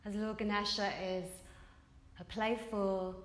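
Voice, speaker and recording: A woman leading a guided meditation as a yoga teacher, telling stories in gentle, unhurried short phrases, recorded in a reverberant studio. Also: medium pitch, little pitch movement. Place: indoor yoga space during a group evening session.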